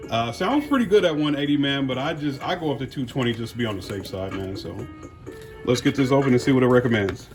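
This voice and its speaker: A man's voice: mid-range voice, expressive, fast talker, over music, rough recording. An American man giving casual unboxing commentary as a car audio vlogger, talking in a folksy, slangy way.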